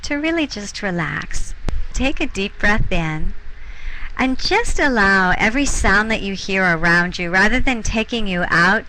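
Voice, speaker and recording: A woman teacher leads listeners through a guided meditation. Her voice is bright and sits at a middle pitch, and she gives soothing instructions in short, unhurried phrases with pauses between them. Some noise can be heard behind her in the recording. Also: poor audio.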